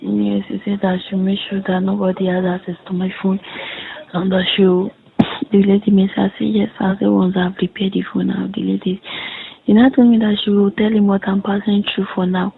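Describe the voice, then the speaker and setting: A young woman with a Nigerian accent speaks on a muffled, lo-fi phone recording. Her delivery stays fairly flat, with a gravelly creak in it. She tells things haltingly, circling back and repeating herself as she relays reported dialogue. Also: medium pitch, a little hiss or hum. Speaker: caller in a private phone conversation. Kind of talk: casual recounting of a conversation.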